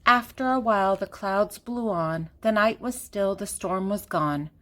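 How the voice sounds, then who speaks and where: A woman's voice: medium pitch, a little slow, fairly bright tone. An older woman reading a children's picture book aloud, speaking simply and directly to young listeners. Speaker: children's storybook reader.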